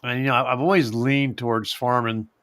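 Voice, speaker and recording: A man from Pennsylvania with a light Pennsylvania accent, hosting a rambling, halting live chat. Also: medium pitch, fairly even intonation, a bit bright, dry recording. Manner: rambling, halting, many fillers and restarts. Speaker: host. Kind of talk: casual live-stream chat.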